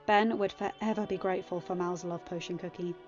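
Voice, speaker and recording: A woman's voice: fairly low pitch, steady tone, fairly quick, darkish tone, dull audio. A woman reading scripted fiction as a story narrator. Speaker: podfic narrator. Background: music.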